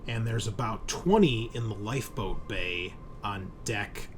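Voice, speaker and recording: A man's voice: on the low side, measured pace, background noise. A man narrating a sci-fi horror tabletop game as game master in a casual, descriptive, explanatory way.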